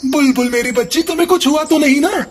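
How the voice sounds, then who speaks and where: A man's voice: very high-pitched, fast talker, slight background noise. A man voicing a rich, stern father bird, protective of his daughter, in a children's cartoon. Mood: worried. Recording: music under the voice.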